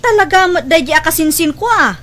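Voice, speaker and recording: Very high, bright-voiced pushy recruiter woman actress. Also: animated, a little fast. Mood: defensive, annoyed. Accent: Ilocano. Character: pushy, defensive woman recruiter for online job. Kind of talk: Ilocano audio drama dialogue.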